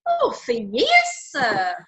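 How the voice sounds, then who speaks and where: An actress playing the defendant's chatty, oblivious wife who gossips cheerfully in court. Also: female voice, on the high side, huge pitch swings, slow pace, full character voice. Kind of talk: amateur radio drama.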